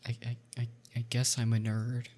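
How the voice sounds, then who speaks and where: A man's voice: medium pitch, completely flat delivery, moderate pace, darkish tone. A young man chatting on a podcast in an earnest, encouraging way, hesitating with ums as he talks. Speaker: YouTuber and podcast co-host. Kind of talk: casual chat.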